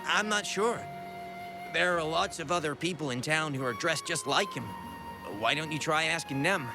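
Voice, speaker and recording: A man's voice: fairly high pitch, moderate pace, close to an everyday voice. An actor playing a concerned, chatty male townsperson in a video game. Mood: helpful. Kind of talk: scripted game dialogue. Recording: game music behind voice.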